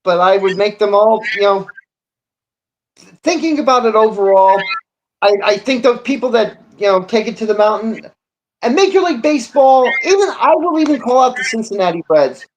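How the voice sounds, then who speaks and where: A man's voice: high-pitched, expressive, moderate pace, a little hiss or hum, rough recording. A man speaks as co-host of a casual sports discussion. He states his opinions with emphasis and some indignation. His delivery is conversational, with small asides along the way. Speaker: sports talk show co-host.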